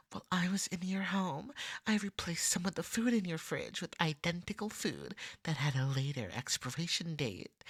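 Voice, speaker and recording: Jealous anime cat girl, acted by a woman: slow, very varied pitch, snide, possessive, lovesick, ASMR roleplay. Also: slightly rough, no echo, full character voice. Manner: snippy, self-satisfied, cooing.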